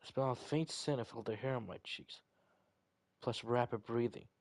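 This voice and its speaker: A man reading visual novel text aloud in an amateur, slightly halting way. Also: medium pitch, no echo. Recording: loud music over voice. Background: loud piano music from the game.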